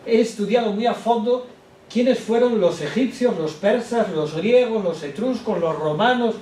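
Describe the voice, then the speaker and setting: A man voicing an old grandfather scholar in a story he reads aloud, in a slow, slightly raised reading voice. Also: sits high, dark tone, voice pushed up. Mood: proud. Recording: echoey hall, distant mic, noise.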